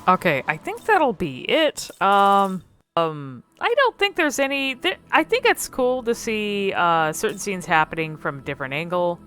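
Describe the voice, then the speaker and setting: A woman's voice: mid-range voice, big pitch swings, moderate pace, fairly bright tone, faint music behind. A woman giving casual, unscripted game commentary in a hesitant, meandering way. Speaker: video creator.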